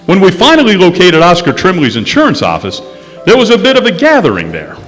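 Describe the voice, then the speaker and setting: A man playing a wry, smooth-talking insurance investigator who narrates his case in the style of a 1950s radio detective drama. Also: sits high, huge pitch swings, brisk, fairly bright tone, full character voice. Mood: wry, confiding. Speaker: stage radio actor. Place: stage in a historic courthouse hall. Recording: echoey hall, music underneath.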